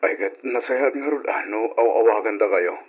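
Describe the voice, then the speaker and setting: A man's voice: on the low side, normal speed, slightly rough. An actor playing a troubled, aggrieved husband and father in an Ilocano radio drama. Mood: fatherly, subdued. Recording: dull, noisy old radio recording.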